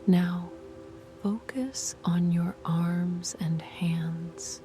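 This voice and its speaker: A woman whispering soft, soothing guided meditation instructions. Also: lowish voice, steady tone, very slow. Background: music.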